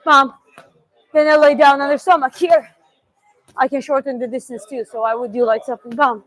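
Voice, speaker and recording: A woman's voice: sits high, fairly even intonation, normal speed, a bit bright, low-quality recording. A young woman with a Georgian accent, chatting loosely on a live stream, answering viewer questions and talking through moves with restarts. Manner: chatty, loose, with sound-effect "bum"s and restarts. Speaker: martial arts streamer. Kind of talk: live-stream Q&A and technique demo.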